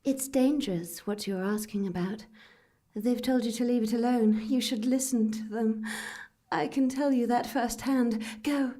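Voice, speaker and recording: A fairly high female voice, ominous and deliberate. She is an actress playing a troubled woman in a gothic stage drama, delivering melodramatic warnings with grave weight. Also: dark tone.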